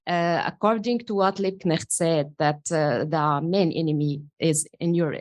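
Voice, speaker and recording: A woman's voice: sits low, a little slow, a little mellow. A woman from Syria giving a comment to a lecturer from the audience, hesitant and searching for her words.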